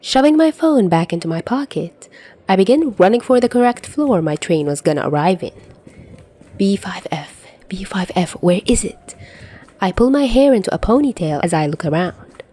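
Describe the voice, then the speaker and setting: A woman's voice: mid-range voice, expressive, moderate pace, mellow, close to an everyday voice. Woman acting an incredulous, easily panicked young woman. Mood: hurried, annoyed. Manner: incredulous, exclamatory inner monologue. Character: panicky young woman commuter caught in a supernatural disaster. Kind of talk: first-person fan fiction narration.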